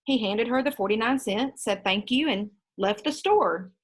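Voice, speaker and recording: A woman reading a children's story aloud in an expressive, teacherly storytelling style. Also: a little high, moderate pace, husky.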